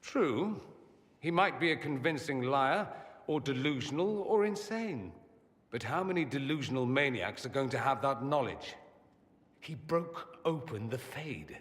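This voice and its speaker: An actor playing a witty, vain, sardonic mage in a fantasy video game, with theatrical, flippant delivery. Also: male voice, medium pitch, lively intonation, measured pace, a bit bright. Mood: grave, wry. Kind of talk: video game character dialogue. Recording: long hall reverb.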